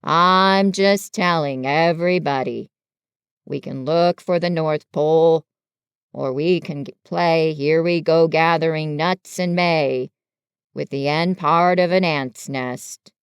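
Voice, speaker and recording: A woman's voice: low voice, smooth, no echo, studio quality, voice pushed down. A woman voicing a gloomy, put-upon old donkey in a children's story, grumbling in a dreary, resigned way. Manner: slow, dreary, resigned complaining. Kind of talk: children's storybook character reading.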